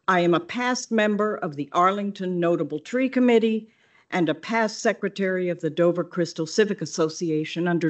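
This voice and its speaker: An older woman speaks with a big, fairly low voice. She pleads and stresses her points with earnest emphasis. Her voice comes through a podium microphone in a meeting room. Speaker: public commenter. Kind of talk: public testimony at a zoning hearing.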